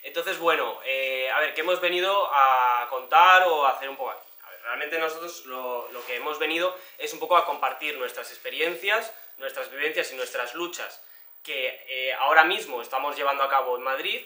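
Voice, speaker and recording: A man's voice: mid-range voice, moderate pace, sharp tone. A young man from Cantabria, giving an informal public presentation as an activist. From Cantabria, Spain. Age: young adult. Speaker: tenants' union activist, speaker. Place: indoor social-centre room before an audience. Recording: echoey room, distant microphone.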